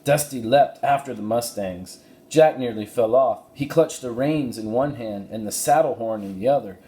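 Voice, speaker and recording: A man giving an expressive narration of a children's storybook. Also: medium pitch, moderate pace. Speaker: story reader. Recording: roomy echo, faint background noise.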